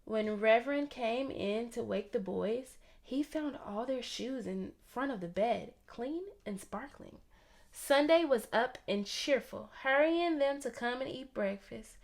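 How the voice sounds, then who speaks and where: A woman reading a children's story aloud in an expressive, theatrical way. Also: on the high side, a little slow. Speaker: storybook reader.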